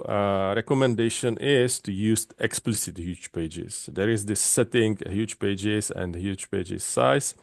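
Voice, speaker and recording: Low-voiced Czech man presenting. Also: no room sound, clean studio sound. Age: middle-aged. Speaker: conference speaker, database specialist. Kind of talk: technical presentation. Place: indoors, online video call.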